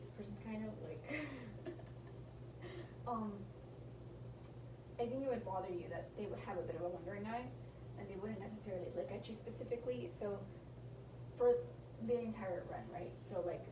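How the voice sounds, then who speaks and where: A woman's voice: mid-range voice, echoey room, far from the mic, noisy background. A young woman giving a casual tarot reading, sounding nasal and tired from a sinus infection, rambling and correcting herself, sometimes dropping into a whisper. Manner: rambling, self-correcting, apologetic, drops into whispers. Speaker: tarot reader.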